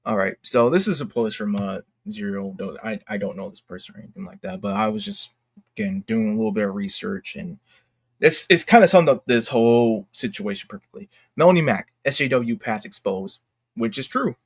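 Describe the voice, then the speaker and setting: A man giving unscripted commentary as a YouTuber, talking in a halting, slangy, exclamatory way and often interrupting himself. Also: lowish voice, very animated, vocal fry, breathy edge. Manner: rambling, halting, self-interrupting. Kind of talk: unscripted casual commentary rant.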